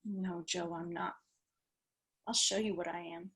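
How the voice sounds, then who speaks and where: A woman's voice: fairly low pitch, fairly even intonation, a little slow, a bit bright, husky. A woman playing a bitter, wounded homeless woman in a dramatic table reading, mocking and needling with a raw edge. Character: bitter, wounded, street-hardened homeless woman, taunting and seductive.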